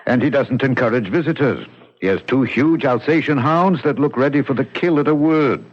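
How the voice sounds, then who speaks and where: An actor playing a measured, curious chief magistrate in an old radio drama, with courtly, deliberate, theatrical phrasing. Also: male voice, mid-range voice, close to an everyday voice. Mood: musing. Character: measured, curious Corsican chief magistrate telling his tale. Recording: muffled old radio broadcast, music behind.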